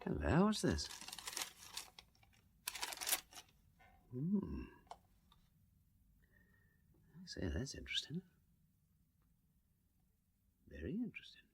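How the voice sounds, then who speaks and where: An actor playing a jaunty, inquisitive aristocratic sleuth in a radio drama, with an upper-class English accent and breezy old-fashioned slang. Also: male voice, medium pitch, wildly swooping pitch, moderate pace, slight background noise. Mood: intrigued, hushed.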